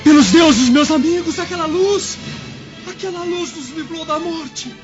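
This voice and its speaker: A woman's voice: extremely high pitch, unhurried. An actress playing a noble, devout Roman lady in a radio drama, with earnest, emotive and formal delivery. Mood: awed relief. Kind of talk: melodramatic radio drama acting. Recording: muffled radio drama, loud music.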